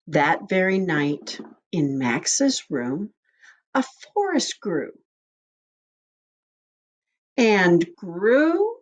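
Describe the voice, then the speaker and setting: Female storybook reader for young listeners: very slow, deliberate delivery, lively melodic swings. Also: medium pitch, lo-fi sound. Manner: expressive, deliberate, dramatic phrasing. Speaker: school staff member reading to children. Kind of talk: storybook read-aloud.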